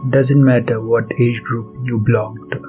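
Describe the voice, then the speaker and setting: A man leading a guided meditation as its host, speaking softly and deliberately in short phrases with pauses between them. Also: medium pitch, flat delivery. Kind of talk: guided meditation, soft spoken instruction. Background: background music.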